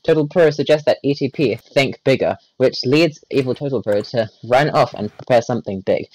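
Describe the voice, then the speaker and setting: A man's voice: medium pitch, moderate pace, darkish tone, low-quality recording. A man reading a wiki page aloud in a group voice chat, stumbling and correcting himself as he goes. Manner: reads aloud with stumbles, self-corrections and laughs.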